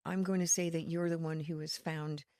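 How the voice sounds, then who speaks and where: A woman from Connecticut, a ministry leader, explaining her work in an earnest, faith-filled, measured way as a podcast guest. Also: deep voice, flat delivery, dark tone, no echo. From Connecticut, USA. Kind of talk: podcast interview.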